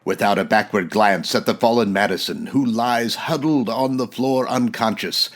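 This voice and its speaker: A man's voice: fairly low pitch, normal speed, close to an everyday voice. A man playing a grave announcer, narrating dramatically in the manner of a 1940s radio serial. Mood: grave, suspenseful.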